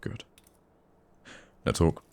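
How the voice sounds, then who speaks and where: A man playing a gruffly caring, teasing supervillain who comforts a nemesis in an intimate ASMR roleplay, with a deep, gravelly voice. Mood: bossy, caring. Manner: soft-hearted, teasing, halting when flustered.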